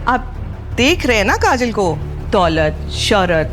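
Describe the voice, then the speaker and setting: A woman's voice: mid-range voice, big pitch swings, slow, fairly bright tone, soft background music. A woman playing a haughty, boastful middle-aged aunt in an audio drama, with a snide, theatrical, taunting manner. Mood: scornful. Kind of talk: Hindi audio drama acting.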